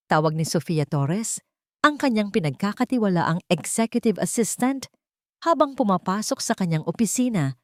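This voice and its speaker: A woman narrating a scripted dramatic story in measured, short literary phrases. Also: medium pitch, a little mellow, no echo, studio quality.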